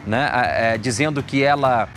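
A man's voice: medium pitch, moderate pace, a bit bright. Young Brazilian male news presenter, music behind. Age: young adult. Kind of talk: live news commentary, conversational. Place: TV studio. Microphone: lapel microphone. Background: music bed.

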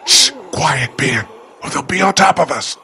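Loyal game survivor, earnest, creaky, forceful edge, wide-ranging pitch. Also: male voice, lively intonation, a little slow, slight background noise, exaggerated voice. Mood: urgent. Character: earnest, loyal adult male survivor leading the group. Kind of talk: dramatic video game acting.

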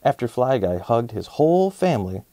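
A man's voice: medium pitch, very animated, normal speed, warm tone, no room sound. A man in his thirties hosting a children's story channel and narrating a picture book. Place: small indoor set, dry sound.

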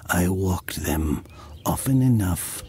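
An actor playing a wistful ghostly man, telling of his village boyhood in measured audio drama narration. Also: low-pitched, expressive, gravelly, warm tone, full character voice. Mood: wistful, haunted. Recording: music under the voice. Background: music.

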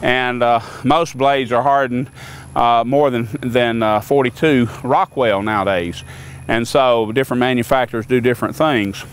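A man's voice: mid-range voice, fairly even intonation, normal speed, a little hiss or hum. An older man on a lawn beside a sawmill, plainly explaining equipment in an unscripted, folksy way with many fillers and restarts.

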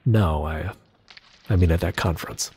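A man's voice: low-pitched, moderate pace, mellow. A man playing a playful, joking companion exploring a site. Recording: reverberant space with faint noise.

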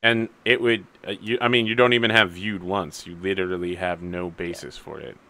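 A man's voice: deep voice, fairly even intonation, brisk, pushed and bright. A young man running a tabletop game as game master over a video call, with a dry, wry way of talking.

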